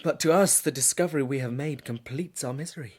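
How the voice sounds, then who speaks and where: A man's voice: medium pitch, fast, natural voice. Man playing an earnest, sorrowful younger brother. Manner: formal, earnest phrasing. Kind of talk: dramatised audiobook acting.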